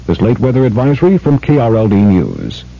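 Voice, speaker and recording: Husky male radio bulletin announcer. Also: on the low side, wildly swooping pitch, fairly quick. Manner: formal, factual, repetitive. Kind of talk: weather warning bulletin. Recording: dull, muffled old radio broadcast.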